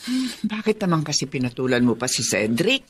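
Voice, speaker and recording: A woman acting a middle-aged, protective, gently scolding mother in a Filipino radio drama. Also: deep voice, very animated, fairly quick, breathy edge, heavily acted. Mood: concerned, scolding.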